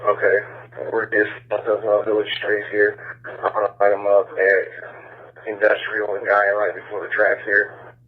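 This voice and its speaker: A man's voice: lowish voice, completely flat delivery, moderate pace. An American man working as a patrol officer, making clipped, procedural calls over a police radio during a pursuit. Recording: muffled police radio scanner transmission.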